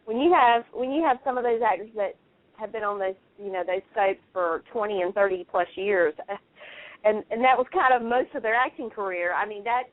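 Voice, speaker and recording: A woman's voice: medium pitch, normal speed, no echo. A woman chatting casually on a radio talk show, effusive and opinionated, talking at length over a phone line. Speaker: radio show panelist and soap fan. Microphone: telephone call. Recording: narrow, tinny phone line.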